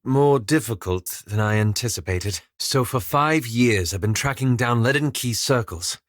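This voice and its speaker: An actor playing a reserved, bookish adventurer in a video game, speaking formally and politely with cautious, apologetic hesitance. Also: male voice, mid-range voice, unhurried, no room sound, clean studio sound, natural voice. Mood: reflective, earnest. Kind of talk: video game character dialogue.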